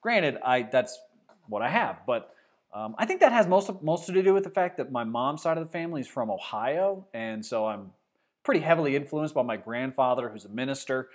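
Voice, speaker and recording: A man from Arkansas with a mostly neutral Midwestern-sounding voice, hosting a livestream show, rambling and sarcastic as he reads chat comments. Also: mid-range voice. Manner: rambling, sarcastic, trails off and restarts. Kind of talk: casual football talk, reading chat comments.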